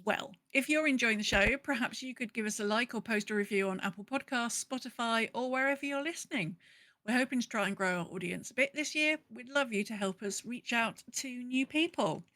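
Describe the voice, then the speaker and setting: A woman's voice: on the high side, a little fast, pushed and bright. A woman hosting a podcast in casual chat, with dry, understated humour. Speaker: podcast host.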